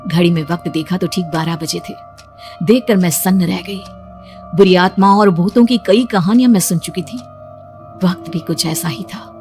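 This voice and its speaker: A young woman narrating a horror cartoon story in the first person, hushed and suspenseful. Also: lowish voice, normal speed, faint music behind. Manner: hushed, suspenseful, rising to frightened.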